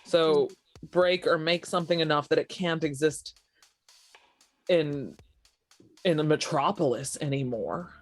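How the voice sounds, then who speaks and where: A woman's voice: low voice, measured pace, pauses often, faint music behind. A woman playing a tabletop roleplaying game, talking casually out of character about the story.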